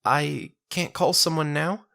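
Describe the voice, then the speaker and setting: A man's voice: on the high side, slow pace, creaky, sharp tone, voice pushed up, heavily acted. A man voicing a weary, cynical woman law officer in an audio story, guarded and sardonic, with colloquial phrasing. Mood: anxious.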